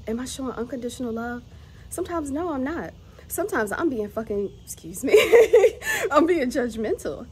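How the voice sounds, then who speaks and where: A woman's voice: on the high side, darkish tone, slight background noise. A woman, talking candidly to camera about motherhood and self-growth, laughing often. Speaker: vlogger, mother of three. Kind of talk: casual reflective monologue.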